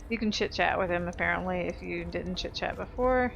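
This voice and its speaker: A woman's voice: lowish voice, fairly quick, gravelly, dull audio, a little hiss or hum. A woman giving casual gameplay commentary as a let's play host.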